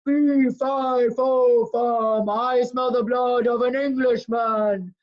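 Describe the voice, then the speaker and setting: A woman voicing a huge, grumpy, man-eating giant from a storybook, in a slow, booming chant. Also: steady tone. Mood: menacing. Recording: dull lo-fi call audio.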